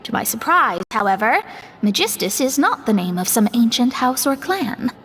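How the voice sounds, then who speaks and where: Proud young astrologer, high and lively. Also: female voice, normal speed, slight background noise. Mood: matter-of-fact. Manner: precise, slightly formal, wry asides. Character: proud, studious young female astrologer, frank and matter-of-fact. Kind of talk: video game voice acting.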